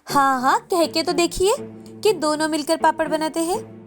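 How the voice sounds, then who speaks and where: A very high-pitched, sly young wife from a cartoon moral tale, played by a woman. She is lazy and greedy, and speaks in a nagging, sarcastic way. Music plays behind her. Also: moderate pace, lightly acted. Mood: indignant. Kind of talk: animated moral story dialogue.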